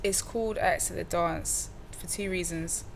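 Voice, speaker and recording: Young woman musician, low-pitched, easygoing slangy talker, faint room hiss behind. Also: unhurried, vocal fry. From Cardiff, Wales. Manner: relaxed, slangy, many fillers and restarts. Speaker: musician guest. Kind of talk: casual interview chat.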